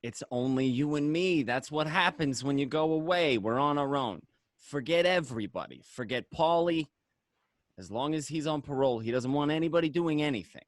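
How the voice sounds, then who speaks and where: Man playing a jailed mobster, animated and insistent. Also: fairly high pitch, normal speed, no echo, clean studio sound, close to an everyday voice. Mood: placating, insistent. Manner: insistent, placating. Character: defensive, pleading jailed mobster husband. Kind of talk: radio drama acting of a film script.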